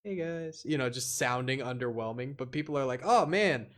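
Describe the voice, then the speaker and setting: A man talking casually and informally as a podcast guest, a YouTuber who uses slang and halting phrasing. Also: mid-range voice, a bit bright. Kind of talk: casual chat.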